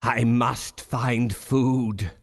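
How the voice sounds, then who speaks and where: Slow, growling big bad wolf. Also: male voice, medium pitch, fairly even intonation. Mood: hungry, menacing. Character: greedy, menacing big bad wolf hungry for piggy pie. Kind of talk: children's storybook game character acting.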